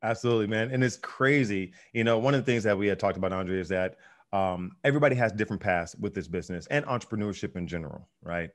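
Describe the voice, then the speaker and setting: A man's voice: on the low side, quick pace. A man hosting a business podcast in easygoing conversation, with lots of ums and restarts. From United States. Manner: easygoing, many fillers and restarts. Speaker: podcast host. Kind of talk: casual interview chat.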